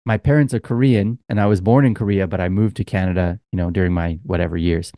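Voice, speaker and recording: Podcast co-host, male, lowish voice, dry close sound, loose rambling storyteller. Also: fairly even intonation, fast talker, mellow, no room sound. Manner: rambling storyteller, many fillers. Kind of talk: casual chat.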